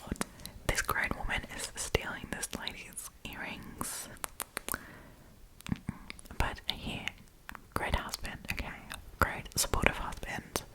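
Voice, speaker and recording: A woman's voice: takes it slowly, pauses often, rough recording. Female ASMR storyteller, entirely whispered, informal and chatty, reading aloud with offhand commentary. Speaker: host reading and reacting to online stories.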